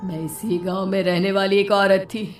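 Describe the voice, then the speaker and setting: A woman voicing a vengeful female witch in a cartoon, gloating and cackling with slow, drawn-out threats. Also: mid-range voice, little pitch movement, distant mic, over music, close to an everyday voice. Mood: bitter. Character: vengeful female witch stealing village children. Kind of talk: cartoon voice acting.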